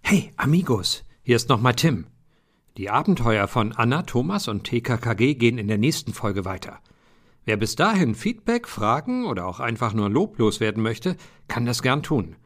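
A man's voice: medium pitch, big pitch swings, normal speed. A man reading a scripted podcast outro in an upbeat way, listing contact details. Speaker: outro announcer.

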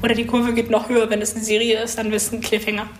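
A woman's voice: a little high, completely flat delivery, quick pace, a little hiss or hum. A woman in her twenties giving casual, chatty answers as a student being interviewed. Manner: chatty, informal.

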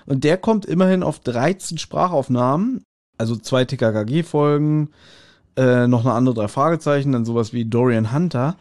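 A man's voice: medium pitch, normal speed, darkish tone, dry recording, studio quality. A man co-hosting a casual review podcast about audio dramas. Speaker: podcast co-host.

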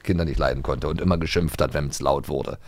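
A man's voice: a bass voice, a little fast. A man co-hosting a podcast, chatting casually and reminiscing, with a hesitant delivery full of fillers and restarts. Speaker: podcast co-host.

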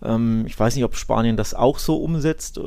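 A man's voice: mid-range voice, moderate pace, creaky, warm tone. A man co-hosting a football podcast, discussing the game in a colloquial, emphatic way. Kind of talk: casual sports discussion.

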